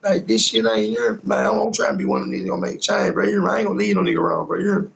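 A man's voice: high voice, big pitch swings, racing, gravelly. A young American man talking casually on a video call in heavy slang, repeating himself for emphasis and checking he is heard. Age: young adult. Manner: repetitive, emphatic street talk with frequent tag questions. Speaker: rapper being interviewed. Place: small indoor room, on a video call. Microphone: phone at arm's length on video call.

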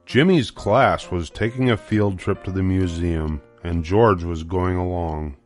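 A man's voice: low-pitched, a little slow, darkish tone, soft background music. An older man narrating a children's storybook as its reader.

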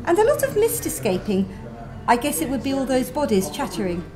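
A woman's voice: high voice, very animated, a little fast, rough recording. Woman narrating her story over music. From England. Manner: gentle, wry storytelling. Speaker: author reading her own story. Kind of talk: first-person story narration. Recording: music playing behind.

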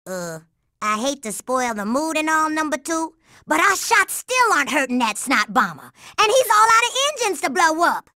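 Cocky kid spy girl for a cartoon game, high bright voice, swoops around, streetwise. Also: big pitch swings, moderate pace, no room sound, clean studio sound, full character voice. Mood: sly, wry. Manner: streetwise slang, refers to herself in third person. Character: sassy, tough, confident young girl secret agent. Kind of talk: cartoon video game voice acting.

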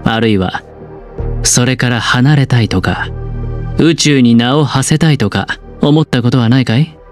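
A man voicing a suave, smug young gambler in a video game, with a smooth voice and a teasing, calculating, theatrically polite charm. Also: medium pitch, unhurried, soft background music, close to an everyday voice. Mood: low, tempting.

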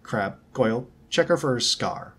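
A man's voice: on the low side, expressive, moderate pace, husky. A man voicing a haughty, condescending rich schoolboy bully with a lazy drawl, in a storybook reading. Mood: threatening.

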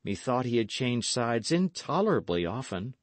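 A man voicing a bold, honest and courteous young gentleman of old England in an audiobook, speaking earnestly and formally. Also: mid-range voice, big pitch swings, moderate pace, no room sound, close to an everyday voice. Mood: respectful, bold. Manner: earnest, formal archaic speech.

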